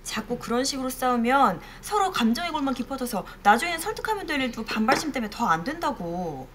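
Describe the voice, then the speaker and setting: A woman's voice: high-pitched, sing-song, fairly quick, a bit bright, slight background noise. A woman in her thirties playing a reasonable, insistent relative who persuades earnestly in a sitcom. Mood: persuading. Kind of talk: sitcom acting. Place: home kitchen.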